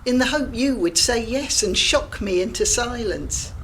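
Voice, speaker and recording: A woman's voice: on the high side, lively intonation, unhurried, slight background noise, low-quality recording. A woman playing Gabby, a cheeky, provocative middle-aged friend who mocks and prods with blunt humour in an audio drama. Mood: teasing. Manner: teasing, blunt and mocking.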